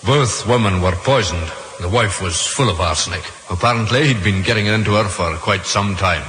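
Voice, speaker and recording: Low-voiced, echoing Victorian investigator. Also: male voice, a little fast, a bit bright. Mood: matter-of-fact. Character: matter-of-fact male Victorian police investigator. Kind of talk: 1950s radio drama acting. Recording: echoey, hissy old radio recording.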